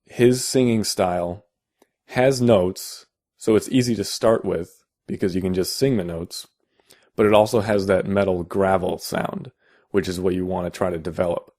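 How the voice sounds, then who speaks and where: A young man with a deep voice, explaining casually in a how-to video, halting with many fillers and restarts. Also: darkish tone. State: out of vocal shape. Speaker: vocal tutorial maker.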